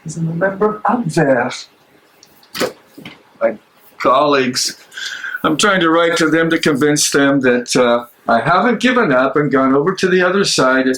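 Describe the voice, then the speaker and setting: An actor playing an anxious but resolute German theologian in a stage reading, with a halting, pleading delivery. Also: male voice, on the high side, lively intonation, a bit bright, poor audio.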